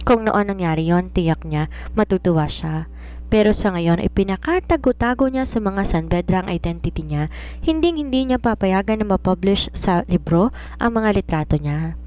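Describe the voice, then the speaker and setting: A woman's voice: mid-range voice, expressive, normal speed, darkish tone. A woman with a Filipino accent narrating a romance novel as a scripted story.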